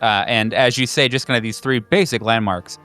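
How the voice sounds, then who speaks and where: A man narrating as game master of a horror tabletop game in a deliberate, halting, dramatic way. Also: medium pitch, sharp tone, soft background music.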